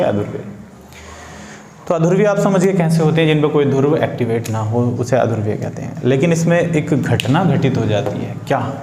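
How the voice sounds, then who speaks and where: A man's voice: medium pitch, darkish tone. Male teacher, echoey room. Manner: repeats key words and phrases, asks and answers own questions; mixes Hindi with English technical terms. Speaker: chemistry teacher. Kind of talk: informal classroom-style lesson for students. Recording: roomy echo with faint background noise.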